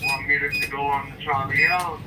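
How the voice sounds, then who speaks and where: A man's voice: mid-range voice, a little fast. Man calling directions over a noisy radio. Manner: brief, steady directions. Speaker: crane dogman giving directions. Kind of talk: radio work instructions. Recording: distant two-way radio with loud noise.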